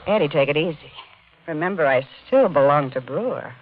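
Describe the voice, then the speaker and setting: A woman's voice: low voice, lively intonation, brisk. An actress playing a greedy, discontented, manipulative woman in a 1950s radio drama. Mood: smooth, coaxing. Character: Amanda, discontented, greedy, manipulative femme fatale. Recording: muffled, hissy 1950 radio broadcast.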